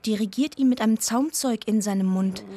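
An actress playing a pious deaconess who narrates in measured, short sentences for a radio play. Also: female voice, fairly high pitch, mellow, close to an everyday voice. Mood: hushed shock.

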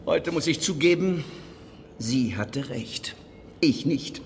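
An actor playing a brusque, officious security manager in a radio crime drama, speaking in a curt, businesslike way. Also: male voice, fairly high pitch, animated, slow pace. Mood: grudging. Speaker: supporting character. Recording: long hall reverb, faint noise.

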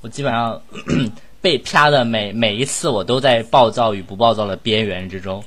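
A male voice actor chatting casually in a blunt, animated and exclamatory way. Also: lowish voice, normal speed. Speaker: voice actor in an audio drama. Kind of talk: casual chat.

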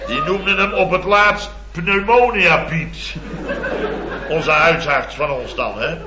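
An actor playing a blustery, boastful middle-aged building contractor in a radio comedy, rambling and exclamatory. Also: male voice, on the high side, slow pace, a crowd in the background, background noise. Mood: boastful relish. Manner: rambling, exclamatory, constant tag words. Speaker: comic lead actor. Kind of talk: 1970s radio comedy acting. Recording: dull old radio recording, roomy, audience laughter.

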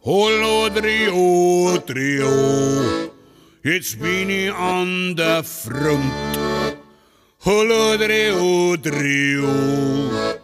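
Ein Mann spielt einen alternden, eitlen Dichter, der jodelnd Verse singt. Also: male voice, high voice, lively intonation, drawn-out words, over loud music, pitched above the performer's own voice, heavily acted. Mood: vain yodeling. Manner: sung, yodeling verse. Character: aging yodeling Bavarian poet, vain.

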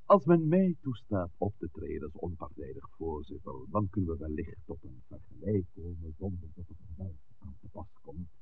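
An actor playing an authoritative village priest in a 1960s radio drama, speaking in a measured, formal, admonishing way. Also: male voice, low-pitched, very animated. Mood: measured, diplomatic. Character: authoritative village priest, composed, stern peacemaker. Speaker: pastor. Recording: dull, noisy 1960s radio recording.

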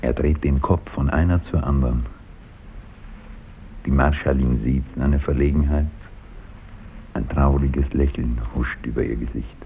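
A man's voice: a bass voice, fairly even intonation, a little slow, long pauses, mellow, dull audio, slight background noise. A man narrating a scripted radio drama, reading stage action in the present tense.

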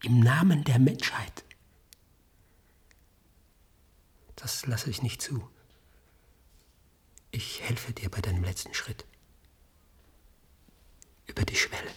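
An actor playing an embittered, defiant scientist in a German radio drama, with a husky, breathy voice and a grave, intense delivery. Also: male voice, mid-range voice, animated, a little slow, long pauses, whispered. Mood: anguished, tender.

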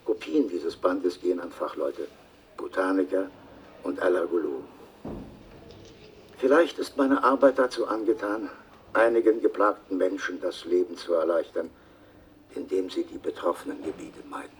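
Radio play: male actor as earnest asthmatic salesman, formal and precise, lowish voice on a slightly distant tape. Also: lightly acted. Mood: earnest, measured. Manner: measured, formal, precise wording. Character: earnest asthmatic travelling salesman and amateur botanist. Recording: distant taped voice, faint noise.

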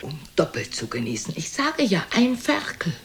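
Low-voiced, scolding, sarcastic old mother. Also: huge pitch swings, moderate pace. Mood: scornful. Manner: scolding, sarcastic, theatrically indignant. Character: reproachful, sharp-tongued elderly mother of Casanova. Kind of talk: German radio play acting.

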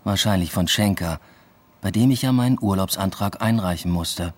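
An actor playing a reflective young man who narrates his own story in a radio drama, sober and matter-of-fact, with a husky voice. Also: normal speed. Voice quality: low, husky.

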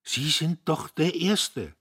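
A man voicing an aging father who speaks slowly, hushed and trembling, close to tears. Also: low voice, slightly rough, no echo, exaggerated voice. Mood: pleading. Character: aging father, pleading, shaken, near tears.

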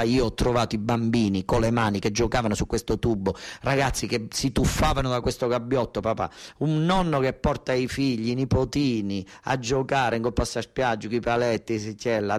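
A man's voice: medium pitch, natural voice. An actor playing an agitated southern Italian son, a worker from Basilicata pleading with his father in a theatrical dialect monologue, repeating himself and stumbling over words.

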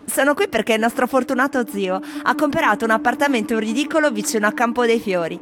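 An actress playing wry, world-weary foreign tourists in a theatrical radio monologue, speaking in delivery. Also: female voice, on the high side, fast, a crowd in the background, close to an everyday voice. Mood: solemn, wry. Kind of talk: theatrical scripted monologue over music. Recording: music playing behind the voice.

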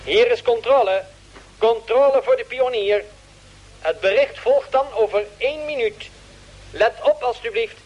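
A woman playing a formal, insistent ground control radio operator in a vintage radio drama, speaking in measured calls. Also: on the high side, a little mellow, breathy edge. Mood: formal, urgent. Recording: muffled, noisy vintage radio transmission.

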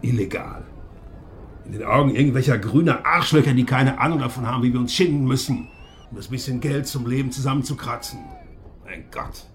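An actor playing a gruff, defiant and proud whaling gunner in a radio drama, coarse-mouthed and swinging between brooding and boasting. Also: male voice, medium pitch, brisk, slight background noise, lightly acted. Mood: bitter, angry.

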